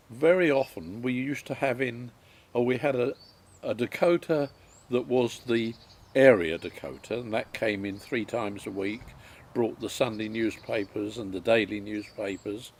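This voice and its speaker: A man, recalling his life story in a halting way with many pauses and ums. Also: mid-range voice, darkish tone, a little hiss or hum. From England. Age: 90s. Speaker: interviewee.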